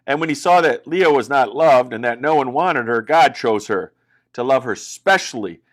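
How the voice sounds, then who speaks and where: A man tells a Bible story for children. His pitch is middling but moves all over as he goes. The delivery is dramatic and expressive, with big emphasis on key words. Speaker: pastor reading children's Bible stories.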